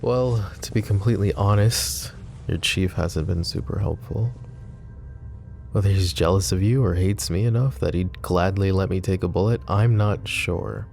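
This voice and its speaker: Male actor as composed mafia boss, low-pitched, dark soft timbre, measured delivery, intimate ASMR roleplay, music underneath. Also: vocal fry. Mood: serious, frank.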